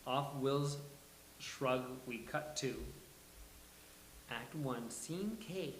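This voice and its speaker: A man's voice: mid-range voice, animated, very slow, slight background noise. A man reading stage directions as the host of a live table read. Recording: roomy live recording.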